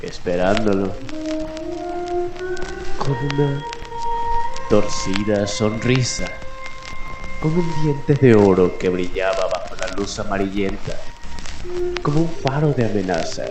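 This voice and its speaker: Man, late-night radio storyteller, lowish pitch, solemn and theatrical, roomy echo. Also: lively intonation, slow, pauses often, over loud music. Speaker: radio host and narrator. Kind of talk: slow late-night mystery radio narration.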